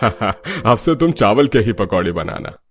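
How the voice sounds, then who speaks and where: A man's voice: fairly low pitch, big pitch swings, unhurried. An actor playing an older, genial, food-loving father-in-law in a cartoon story. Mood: pleased. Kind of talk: Hindi cartoon story dialogue.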